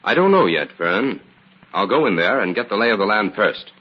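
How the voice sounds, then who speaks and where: Low-voiced cool radio sleuth. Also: male voice, big pitch swings, a little fast, slightly rough. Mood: calm, decisive. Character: confident, cool-headed male crime investigator and undercover hero. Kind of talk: old-time radio drama acting. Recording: dull, noisy old radio recording.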